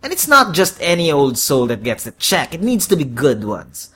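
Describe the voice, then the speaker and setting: A man's voice: fairly high pitch, wildly swooping pitch, normal speed, pushed and bright, breathy edge, heavily acted. A man voicing an impatient, weary devil who talks like a streetwise, wisecracking salesman in an audio story. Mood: pushy, salesmanlike. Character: brash, impatient horned devil, a weary wheeling-dealing salesman.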